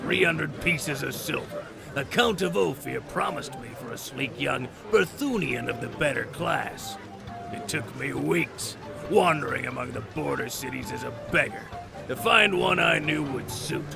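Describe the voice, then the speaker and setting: A fat, boastful kidnapper rogue with a very gravelly, crackling voice that swoops up and down. He blusters with lecherous, mocking theatricality in a fantasy audio drama, over prominent music. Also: mid-range voice, expressive, unhurried. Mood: gloating boastful.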